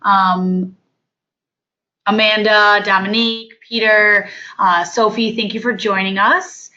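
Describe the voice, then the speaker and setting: Woman, hoarse, coordinator running a webinar, halting with fillers, dull call audio. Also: medium pitch, fairly even intonation, sharp tone. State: hoarse from illness. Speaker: off-campus study coordinator. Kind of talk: online training webinar.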